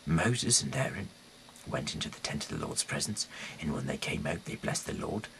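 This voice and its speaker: Very deep, creaky-voiced man reading aloud. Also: lively intonation, a bit bright, a little hiss or hum. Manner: halting, stumbling over words and repeating phrases. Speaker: host reading scripture aloud. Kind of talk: read-aloud Bible narration with casual slips.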